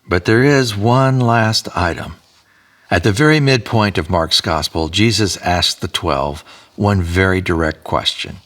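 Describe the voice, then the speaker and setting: A man delivering a solemn, measured scripted address as narrator. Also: low voice, fairly bright tone.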